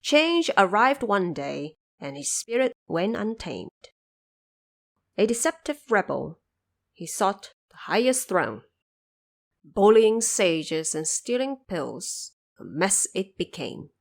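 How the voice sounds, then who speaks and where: Female storyteller for a classic fantasy audio drama: medium pitch, highly varied melody, dramatic deliberate delivery. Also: very animated, vocal fry. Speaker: storyteller, narrator. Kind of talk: scripted dramatic narration of a classic fantasy tale.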